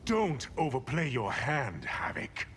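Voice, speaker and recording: An actor playing an imperious, scheming sorcerer in a video game, insinuating and commanding. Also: male voice, mid-range voice, wildly swooping pitch, slow pace, a bit bright, a little hiss or hum, exaggerated voice. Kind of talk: video game villain dialogue.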